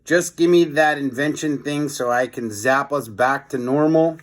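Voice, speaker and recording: A man's voice: mid-range voice, measured pace, natural voice. Schoolboy sidekick for a storybook, voiced by a male reader: wry, plain-spoken, dry. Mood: matter-of-fact.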